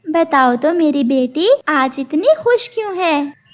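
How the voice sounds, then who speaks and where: A woman voicing a poor, gentle, grateful widowed mother bird, speaking politely and earnestly in a cartoon. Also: high voice, lively intonation, slow. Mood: tender, relieved.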